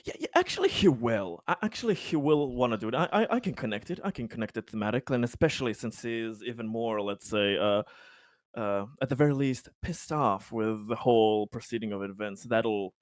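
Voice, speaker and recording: A man's voice: medium pitch, animated, a little fast, no echo, studio quality. A man chatting casually as a player in a tabletop game, with hesitant, stop-start phrasing.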